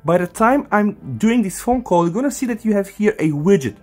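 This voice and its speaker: A man with a fairly high-pitched voice hosts a casual tech walkthrough. He speaks straight to the viewer in an informal, step-by-step way. Music plays behind his voice. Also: big pitch swings, brisk, darkish tone. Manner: addresses viewers directly and informally. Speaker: tech tutorial host. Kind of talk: casual hands-on phone tutorial narration.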